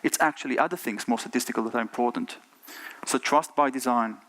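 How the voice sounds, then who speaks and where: A man's voice: mid-range voice, brisk, fairly bright tone, low-quality recording. A middle-aged man from Israel with an Israeli accent, giving an earnest keynote talk on a conference stage and putting rhetorical questions to the audience. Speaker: conference speaker.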